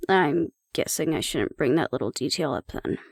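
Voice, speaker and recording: A woman's voice: deep voice, expressive, moderate pace, no room sound. A woman acting in an audio roleplay as a sardonic, nervous young woman who mutters dry asides to her mate. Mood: pleading, wry.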